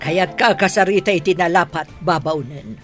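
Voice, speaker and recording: A woman's voice: fairly low pitch, normal speed, a bit bright, faint music behind, natural voice. A woman playing a haughty, angry madam of the house, scolding imperiously in a radio drama. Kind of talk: Ilocano radio drama acting.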